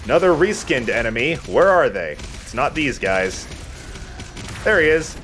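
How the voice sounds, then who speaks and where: A man's voice: mid-range voice, sing-song, unhurried, a bit bright, slight background noise. A man giving casual, opinionated and sometimes sweary video game commentary alongside a co-host. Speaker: let's play commentator. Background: game music.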